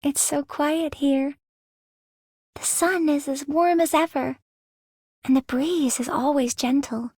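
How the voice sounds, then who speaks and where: A woman voicing a gentle, soft-spoken young woman in a video game. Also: sits high, steady tone, takes it slowly, long pauses, no room sound, studio quality. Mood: gentle, calm. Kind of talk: video game character voice lines.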